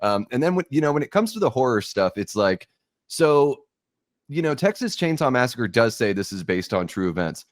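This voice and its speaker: A man's voice: medium pitch, sing-song, normal speed, no echo, studio quality. A man co-hosting a podcast, chatting casually and bluntly, with strong opinions and some swearing. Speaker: podcast co-host.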